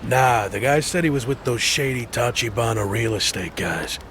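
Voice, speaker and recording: A man plays a streetwise lookout in a game dub. His voice is mid-pitched and his manner is helpful and slangy, with faint outdoor noise behind him. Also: moderate pace, a bit bright, lightly acted. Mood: casual, helpful. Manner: casual, slangy. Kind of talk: English video game dub acting.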